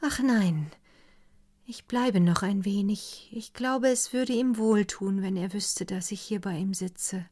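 Woman voicing a hushed, aloof young woman. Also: a little high, steady tone, measured pace, pitched above the performer's own voice, natural voice. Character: withdrawn young woman keeping vigil, grieving.

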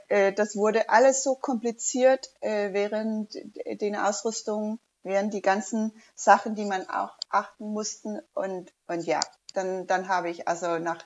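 A woman's voice: mid-range voice, fairly even intonation, measured pace, lo-fi sound. A woman whose first language is Danish, a diving instructor, chatting casually in a hesitant way with many fillers and restarts. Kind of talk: casual interview chat.

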